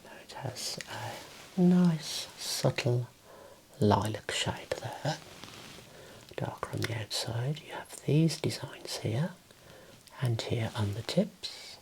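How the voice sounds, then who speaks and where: A man in his thirties whispering gently and soothingly in an ASMR roleplay as an optician, close to the mic in a small room. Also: mid-range voice, expressive, slow pace, rough recording. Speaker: ASMR performer playing an optician.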